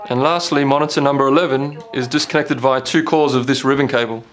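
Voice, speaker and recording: A man's voice: medium pitch, steady tone, fast talker. A man giving an instructional product demonstration as a company presenter. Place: small indoor meeting room. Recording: clearly noisy room recording.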